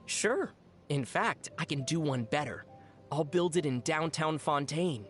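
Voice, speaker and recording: An actor plays a calm young game adventurer who explains things in a measured way and teases with a straight face. The voice sits mid-range and moves with lively intonation. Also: medium pitch, music in the background, natural voice. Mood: deadpan playful. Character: calm young traveler with a dry, teasing wit. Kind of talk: video game dialogue.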